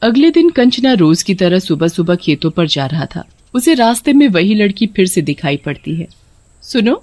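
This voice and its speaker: A woman narrating a scripted horror story in a steady storytelling voice. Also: normal speed, dry recording. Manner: steady storytelling, dropping lower for eerie moments.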